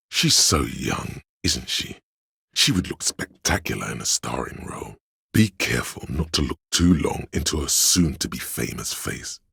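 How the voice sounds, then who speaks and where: Game casting: a grandiose, scheming courtly inner voice; extremely low, husky, declamatory, archaic. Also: male voice, a bit bright, no echo, clean studio sound, exaggerated voice. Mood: admonishing. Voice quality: gravelly, husky. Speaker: voice actor. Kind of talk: theatrical video game voice lines.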